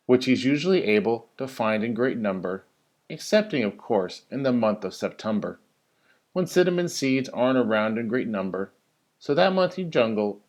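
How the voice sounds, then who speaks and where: A man who works as a teacher reads a children's story in rhyming verse, with an expressive, sing-song delivery that stresses key words. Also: medium pitch. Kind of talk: animated storybook read-aloud of rhyming verse.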